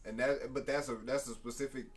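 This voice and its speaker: A man's voice: medium pitch, fairly even intonation, fairly quick, recorded from a distance, music in the background. A man co-hosting a live podcast in casual, slangy, profane chat, often repeating little tag phrases. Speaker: live stream podcast co-host. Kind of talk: casual chat with friends about video games.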